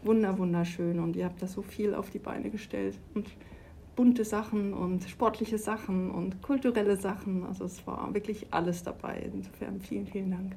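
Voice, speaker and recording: A woman's voice: medium pitch, fairly even intonation, normal speed, vocal fry, dark tone. Middle-aged woman, enthusiastic sports official, faint noise behind. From Switzerland. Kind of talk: conversational interview.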